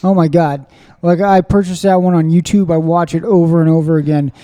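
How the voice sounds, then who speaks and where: A man from Springfield, Missouri, hosting a podcast interview, talking in an excitable, rambling way full of fillers and restarts. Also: fairly high pitch, brisk, clean tone, big-room sound. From Springfield, Missouri, USA. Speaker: podcast host. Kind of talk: casual conversational interview.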